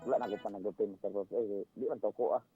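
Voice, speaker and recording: A man with a Cebuano accent calling in to a radio show, giving casual advice in an informal, halting way. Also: on the low side, fairly even intonation, mellow. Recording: tinny phone line, music underneath. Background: background music.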